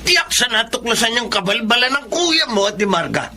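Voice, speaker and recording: A man's voice: extremely high pitch, sing-song, fairly quick, background noise, full character voice. An actor playing a grumbling, freeloading father who frets about money in a Filipino melodrama. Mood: indignant, worried.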